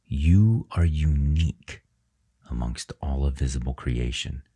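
Casting: male meditation guide, extremely low voice, measured and reverent, leaving pauses between phrases. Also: dark tone, slightly rough.

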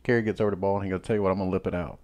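Man, fairly low pitch, podcast host, casual chat, easygoing, teasing. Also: steady tone, racing, darkish tone. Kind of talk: casual chat about golf.

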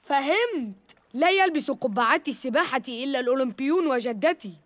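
Cartoon boy of ten: cheeky, indignant, sarcastic, high register. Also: animated, a little fast, fairly bright tone. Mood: sulky. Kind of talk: animated cartoon dub acting.

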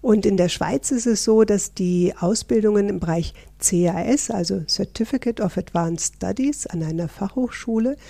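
Mellow-voiced woman from northern Germany. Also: medium pitch, moderate pace, creaky, hardly any pauses, no room sound. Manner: explanatory, friendly, with fillers. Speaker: professor, interview guest. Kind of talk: interview answers.

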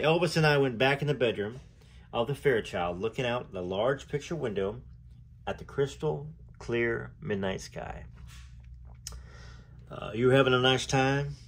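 A man reads aloud as a storytelling host, with dramatic phrasing and emphatic starts to his sentences. His pitch is lively and varied, and he slips easily into casual asides. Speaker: host reading a memoir aloud.

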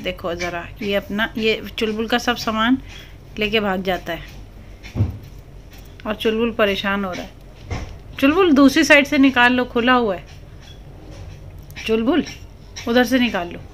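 A woman's voice: fairly high pitch, lively intonation, measured pace, long pauses, a bit bright. Doting woman baby-talking to her parrot. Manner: doting, sing-song coaxing. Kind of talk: baby talk to pets. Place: living room at home, indoors. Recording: home phone video with background noise.

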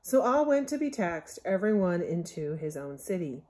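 A woman reading a Bible story aloud from a picture book in measured, scriptural phrasing, as a Sunday school storyteller. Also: fairly low pitch, big pitch swings, warm tone.

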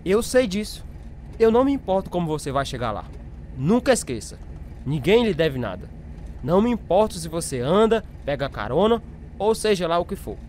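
A man's voice: high-pitched, sing-song, normal speed, a little mellow, slight background noise. An actor playing a stern middle-aged military father in a radio drama, curt and commanding. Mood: harsh, demanding.

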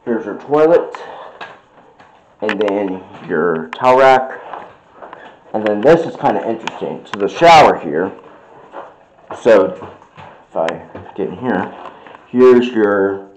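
Slow-talking young man giving a room tour. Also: on the low side, lively intonation, a little hiss or hum, rough recording. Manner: plain, unhurried, with fillers and restarts. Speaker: vlogger. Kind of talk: casual hotel room tour. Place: hotel suite, indoors. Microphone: handheld phone camera.

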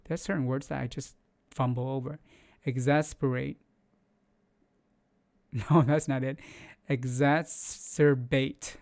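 A man's voice: medium pitch, little pitch movement, slow, creaky, pauses often, darkish tone. Chatty man hosting market news. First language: not English. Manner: loose, chatty, many fillers and restarts. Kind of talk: casual screen-share news commentary.

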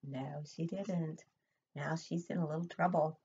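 A woman's voice: deep voice, fairly even intonation, a little slow, vocal fry, dark tone, slightly rough, lo-fi sound. An older woman, a preschool teacher, reading a picture book expressively to young children and asking simple questions, recorded indoors at home. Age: older, 60s or above.

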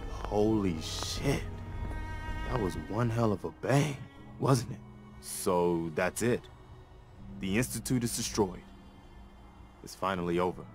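An actor playing a principled, earnest militia soldier in a video game, with emphatic delivery. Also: male voice, on the low side, slow, long pauses, music in the background. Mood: stunned, relieved. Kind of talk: dramatic video game dialogue.

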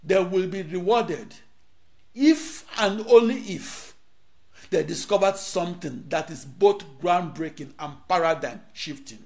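A middle-aged man from Nigeria, a native Igbo speaker, with a notably high-pitched voice. He delivers a rehearsed lecture in short, declaimed phrases broken by dramatic pauses, recorded close on a lapel mic in a studio. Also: animated, darkish tone.

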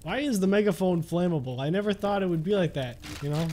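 A man's voice: high-pitched, lively intonation, quick pace, dark tone, slight background noise. A young adult man giving casual, joking gameplay commentary in a playful, exclamatory, mock-serious way. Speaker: gaming video host. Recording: music behind the voice.

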